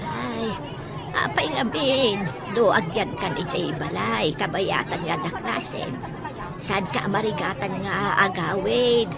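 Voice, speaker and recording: Chatty grandmother amid loud bustle. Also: mid-range voice, animated, takes it slowly, vocal fry. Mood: friendly. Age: older. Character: friendly, chatty old grandmother inviting someone home. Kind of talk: radio drama acting. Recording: loud music and crowd noise behind.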